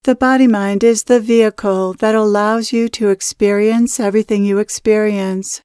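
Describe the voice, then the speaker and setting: Smooth-voiced woman guiding a meditation. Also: medium pitch, steady tone, dark tone, no room sound, studio quality. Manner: measured, deliberate, instructional phrasing. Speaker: spiritual channeler and meditation guide. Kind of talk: guided meditation, scripted spiritual teaching.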